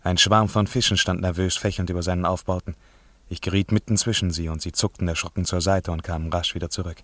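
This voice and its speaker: An actor playing a diver who narrates an underwater descent in a measured, literary 1950s radio play manner. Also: male voice, sits low, fairly even intonation, a bit bright, dry recording. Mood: tense, wondering.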